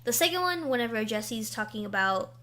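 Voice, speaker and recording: A young woman co-hosting a casual podcast chat, excitable and gushing about things she loves. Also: fairly high pitch. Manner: excitable and gushing, quick to say she will cry. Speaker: podcast co-host.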